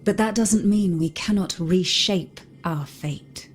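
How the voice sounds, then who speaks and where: A woman voicing a composed, noble, gently philosophical young woman in a video game, speaking formally and courteously. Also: fairly low pitch, takes it slowly, slight background noise. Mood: earnest. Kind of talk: dramatic video game voice acting.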